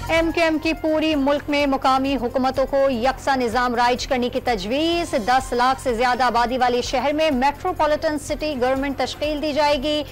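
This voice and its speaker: A woman with a Pakistani Urdu accent reading scripted TV news headlines in a brisk, formal manner. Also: high-pitched, fairly even intonation. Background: news music bed.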